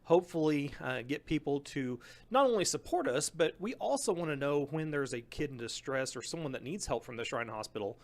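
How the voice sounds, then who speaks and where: A man's voice: on the high side, animated, fairly quick, hardly any pauses. A man from a charity club, guest in a radio interview, speaking earnestly and often repeating his first words. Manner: earnest, repeats words when starting sentences.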